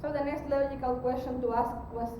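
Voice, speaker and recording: Female conference presenter, high pitch, explanatory and halting, with rumbly noise under a very reverberant distant recording. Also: fairly even intonation. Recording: very echoey, distant mic, rumbling background noise.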